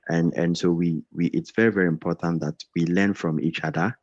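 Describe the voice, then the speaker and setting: A man's voice: low voice, fairly even intonation, fairly quick, darkish tone, dry recording. A man hosting an onboarding session on a video call, earnest and repetitive, often restarting his phrases. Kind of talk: welcoming group presentation on video call. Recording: dull, dry video call audio.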